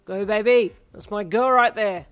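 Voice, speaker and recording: A woman's voice: deep voice, fairly even intonation, fast talker, a little mellow, dry recording. A cheeky, boisterous young father, acted out by a woman storyteller. He dotes on his family and plays up every line, in the manner of an acted audio story. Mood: loud cheering.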